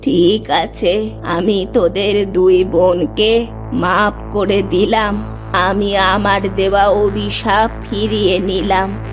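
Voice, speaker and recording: Lady putting on a slow old witch granny for a cartoon, dropped pitch, drawn out, stern. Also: flat delivery, faint music behind, natural voice. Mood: solemn, forgiving.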